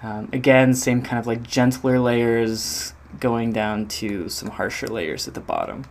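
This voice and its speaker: Male, mid-pitched, informal demo walkthrough, hesitant thinking-aloud delivery, faint noise behind. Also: measured pace. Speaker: game audio designer presenting own project.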